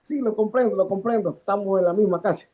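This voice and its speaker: A man from Houston, a church leader in the class, telling a story in a slow, searching, reflective way. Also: sits high, phone-quality sound. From Houston, United States.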